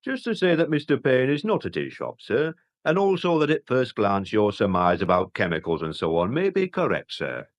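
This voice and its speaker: An actor playing a pedantic, deferential, self-satisfied English police sergeant in a radio drama. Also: male voice, mid-range voice, sing-song, normal speed, few pauses, lightly acted. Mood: officious. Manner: pedantic, deferential, long-winded.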